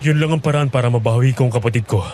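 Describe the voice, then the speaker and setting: Man playing a mellow, determined young farmer. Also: medium pitch, racing, a little hiss or hum, close to an everyday voice. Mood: resolute. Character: determined young farmer Kevin, protective brother, firm, earnest. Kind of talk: Filipino horror audio drama acting.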